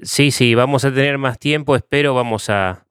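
A man's voice: medium pitch, moderate pace, a bit bright, smooth, dry recording, clean studio sound. A man with an Argentine Spanish accent hosting a program, thanking his guest in a friendly way. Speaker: host. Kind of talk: interview sign-off.

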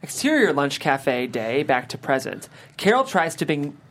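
Really low voiced woman reading script directions, some background noise. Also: sing-song, moderate pace. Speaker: stage-direction narrator. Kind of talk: scripted narration at a table read.

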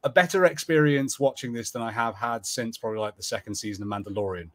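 A man's voice: medium pitch, fast. A man co-hosting a podcast, talking in an informal, jokey panel-chat way. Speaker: podcast co-host.

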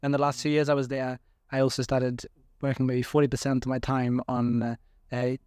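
A man's voice: medium pitch, level tone, brisk, darkish tone, clear, resonant tone, no room sound, clean studio sound. A man in his thirties from Sweden, answering interview questions casually with many fillers and restarts. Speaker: venture capital guest.

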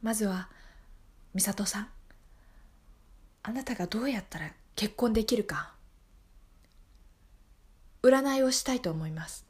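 A woman acts as a sly fortune teller in an improvised radio play. She speaks softly and calmly, with measured, soothing sales patter. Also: mid-range voice, breathy edge. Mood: soothing, solemn. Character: calm, sly fortune teller selling costly marriage readings. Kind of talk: improvised radio drama acting.

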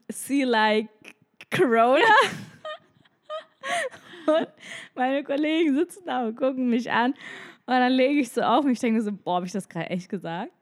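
Laughing woman with a slight cold. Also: sits high. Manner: laughs often, lively storytelling. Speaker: podcast co-host; legal advisor. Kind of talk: casual chat.

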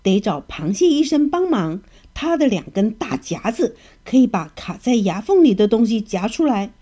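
A woman's voice: on the high side, big pitch swings, normal speed, dark tone, husky. A woman voicing an old whiskered male catfish doctor who sounds weary. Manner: sighing, weary.

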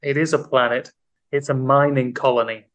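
A man's voice: mid-range voice, steady tone, moderate pace, a bit bright. A man hosting a comedy podcast quiz, teasing his co-host in casual banter. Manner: playful, teasing quizmaster.

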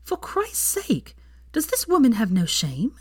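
A woman puts on an outspoken young witch from a fantasy audiobook. She is indignant and emphatic, with a raised, very animated pitch in a dry, close recording. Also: measured pace, breathy edge, dry recording, exaggerated voice. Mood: outraged. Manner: emphatic, pointed.

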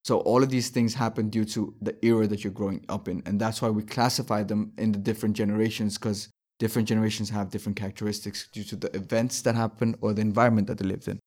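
A man's voice: on the low side, level tone, fast talker, few pauses, darkish tone. A man in his twenties from Denmark, a startup founder, explaining his views step by step as a guest in an interview. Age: 20s.

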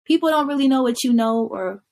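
A woman's voice: sits high, animated, fairly quick, darkish tone. A young woman from Kenya, a singer, talking reflectively about her life in a casual interview, laughing easily and stretching some words. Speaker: guest singer.

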